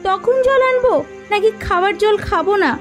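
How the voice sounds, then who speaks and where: A woman's voice: very high voice, moderate pace, soft background music. A woman voicing a nagging, anxious village wife in a cartoon folk tale, insistent and dramatic.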